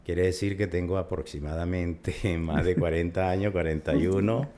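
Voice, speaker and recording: Older Venezuelan urologist, low-pitched, chatty raconteur. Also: male voice, steady tone, normal speed, warm tone. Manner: chatty storyteller, recounts at length. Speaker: urologist guest. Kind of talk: conversational interview.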